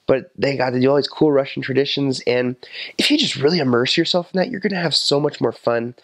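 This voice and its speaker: A young man in his twenties talks casually about his time as a missionary, with lively delivery and plenty of "um" and "you know". His voice sits at an ordinary middle pitch. The lapel-mic sound is close and dry, with no room echo. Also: quick pace. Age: 20s. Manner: animated, talks with his hands, many fillers. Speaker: returned missionary. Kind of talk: casual interview answers. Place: indoor office with window.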